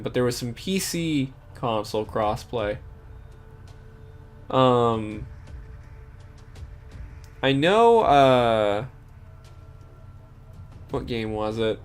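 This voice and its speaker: A young man chatting casually while streaming a game, stuffy-nosed and sniffling, rambling with many fillers. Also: medium pitch. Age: young adult. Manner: rambling, lots of fillers and self-corrections. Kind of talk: casual gameplay stream chat.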